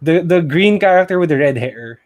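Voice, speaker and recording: A man's voice: a little high, lively intonation, moderate pace. Filipino man thinking aloud on a podcast. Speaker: podcast co-host. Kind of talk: casual pop culture podcast chat.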